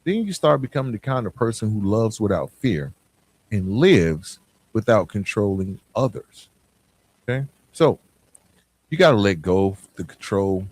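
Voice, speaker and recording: An American man hosting a podcast, giving a reflective motivational monologue in an earnest, emphatic way with deliberate pauses. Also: on the low side, big pitch swings, a little mellow. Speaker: podcast host.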